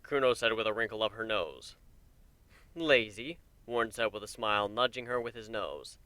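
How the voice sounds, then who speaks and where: Bright, crisp male story narrator. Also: on the low side, fairly quick, no room sound. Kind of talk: dramatic narration of fan fiction.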